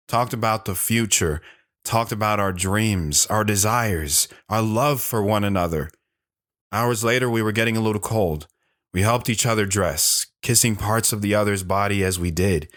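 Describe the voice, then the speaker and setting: A man's voice: fairly low pitch, fairly bright tone. A man playing an earnest, lovestruck lone wanderer recounting his life in a reflective, sentimental audio drama style. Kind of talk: dramatic first-person audio drama narration.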